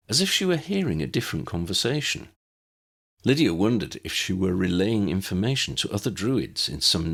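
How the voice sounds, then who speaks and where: A man narrates a fantasy audiobook in a low voice with a husky, gravelly edge. His reading is measured and storybook-like, with an expressive rise and fall that carries each sentence.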